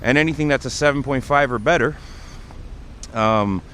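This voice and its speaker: A man's voice: mid-range voice, normal speed, fairly bright tone. Man livestreaming casually over street noise. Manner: explanatory, conversational. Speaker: livestream host. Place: outdoors on a city street by a bike lane. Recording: outdoor street noise, passing vehicles. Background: traffic and urban ambience.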